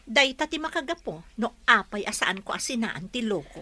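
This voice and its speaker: A woman's voice: a little high, very animated, moderate pace, pushed and bright, heavily acted. A woman acting a bitter, vengeful wife with an Ilocano accent in a radio drama.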